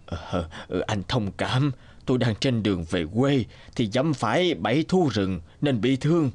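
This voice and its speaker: A man's voice: medium pitch, expressive, measured pace, a little mellow, breathy edge. A man playing a humble, injured old traveller in a cartoon, speaking hesitantly and apologetically, with groans. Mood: pained, humble. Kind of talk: cartoon dubbing.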